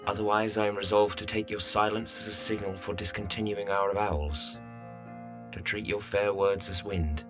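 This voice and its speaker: A man acts out a young gentleman in an audio period drama, fairly low in pitch. He is earnest, formal and easily wounded, with music behind him. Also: steady tone, normal speed, lightly acted. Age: young adult.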